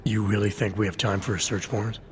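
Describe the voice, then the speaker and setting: An actor playing a grim, guarded cyborg agent in a video game, speaking in a low, clipped way. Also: male voice, fairly even intonation, quick pace, over music, close to an everyday voice. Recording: game cutscene with loud score.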